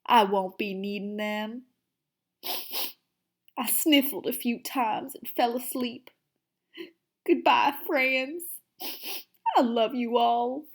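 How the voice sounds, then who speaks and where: A woman voicing an excitable, dramatic little hamster telling his diary in an expressive children's read-aloud, with theatrical emphasis and hushed asides. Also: on the high side, slow, pauses often. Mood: doomed, mournful.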